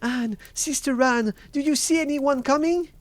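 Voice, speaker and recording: A distressed young wife in a storybook tale, pitched far up high. She sounds anxious and tearful, pleading desperately. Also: moderate pace, a little mellow, no echo. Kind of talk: dramatic fairy-tale storybook reading.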